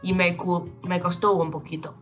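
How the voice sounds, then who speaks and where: Hesitant Chinese-speaking woman, low voice. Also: level tone, normal speed, muffled recording, soft background music. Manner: hesitant, searching for words, many restarts. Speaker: interview guest, Chinese teacher. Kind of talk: casual interview in learner Spanish. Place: home in Galicia, video call.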